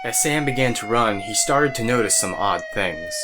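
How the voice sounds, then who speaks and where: A man's voice: lowish voice, lively intonation, moderate pace, noisy background. A man narrating an audio drama as storyteller, with casual asides to the listener.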